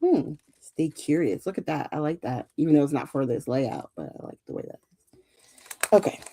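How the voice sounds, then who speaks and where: A woman's voice: deep voice, moderate pace, vocal fry, dry recording, clean studio sound. A woman co-hosting a scrapbooking livestream in casual, joking chat, sometimes trailing off into murmurs as she works. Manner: lively, joking, trails off into murmurs while working. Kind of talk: casual chat while crafting.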